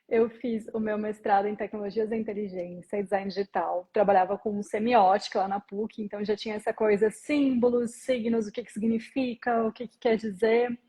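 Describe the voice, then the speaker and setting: A woman from southern Brazil, a guest on a live stream, speaking in a relaxed, articulate way. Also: medium pitch, slightly rough. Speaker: guest, luxury marketing researcher. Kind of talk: casual live chat. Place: São Paulo.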